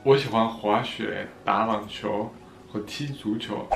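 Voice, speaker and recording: A man's voice: on the low side, fairly even intonation, slow, vocal fry, noisy background. A young man from France answering viewer questions in a casual vlog, playful and joking at his own expense. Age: young adult. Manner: playful, jokey, laughs at himself. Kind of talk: casual Q&A vlog, switching between French and Chinese. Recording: echoey room with soft background music.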